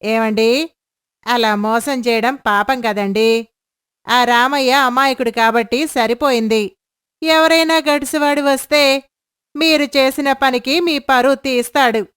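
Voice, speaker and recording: A woman voicing a dutiful village wife in a moral story, respectfully and gently scolding her husband. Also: high-pitched, fairly even intonation, unhurried, clean tone, no room sound, studio quality. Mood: reproving. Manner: respectful, reproving.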